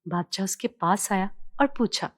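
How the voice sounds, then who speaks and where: A woman's voice: mid-range voice, expressive, moderate pace, no room sound, studio quality. A woman narrating a scripted children's story as a storyteller.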